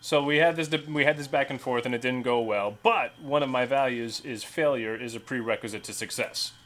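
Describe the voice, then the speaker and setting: A man's voice: mid-range voice, fairly quick, fairly bright tone. A man playing in a tabletop roleplaying game, talking casually and sometimes speaking as his character.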